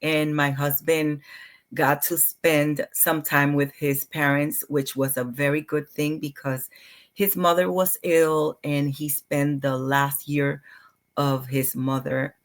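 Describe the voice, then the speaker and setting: A middle-aged woman from Puerto Rico, a clinical psychologist, speaking softly and unhurriedly in an interview conversation. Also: sits low, little pitch movement, slow.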